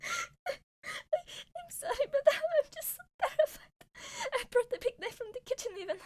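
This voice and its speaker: A woman's voice: extremely high pitch, huge pitch swings, unhurried, heavily acted. A woman playing a terrified, pleading maid in an amateur radio play, tearful and breathless. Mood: tearful panic. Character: terrified, pleading young maid, given to panic.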